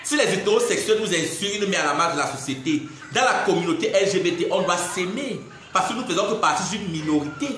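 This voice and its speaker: Young man, twenties, Cameroonian French accent, high-pitched, indignant mocking rant, very echoey room. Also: lively intonation, fast talker, hardly any pauses, fairly bright tone, faint music behind. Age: 20s. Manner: indignant, mocking, laughs between jabs. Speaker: social media personality. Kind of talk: ranting live video monologue.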